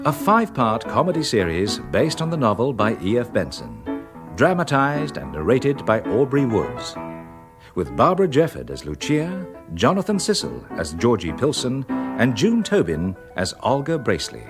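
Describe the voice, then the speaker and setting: Animated male announcer over music. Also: mid-range voice, normal speed. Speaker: continuity announcer. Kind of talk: scripted programme introduction. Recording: music behind the voice.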